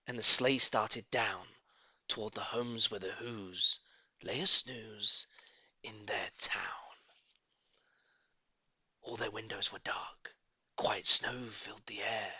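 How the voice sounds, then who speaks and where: A man's voice: lowish voice, steady tone, takes it slowly, long pauses, bright, forceful tone, husky, dry recording. A man narrating a rhyming storybook in a theatrical, playful and dramatic way.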